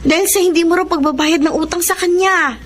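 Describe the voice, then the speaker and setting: Gossipy friend, high voice, confiding, concerned, radio drama, faint background ambience. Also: female voice, a little fast, slight background noise. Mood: confiding, apologetic. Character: gossiping female neighbour friend, concerned and meddling. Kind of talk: Tagalog radio drama acting.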